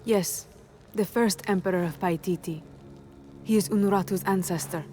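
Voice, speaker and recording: An actress playing a proud, duty-bound rebel warrior who speaks formally and solemnly in a video game cutscene. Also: female voice, mid-range voice, moderate pace, warm tone, natural voice. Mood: grave, resolute. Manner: formal, declarative. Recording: music under the voice.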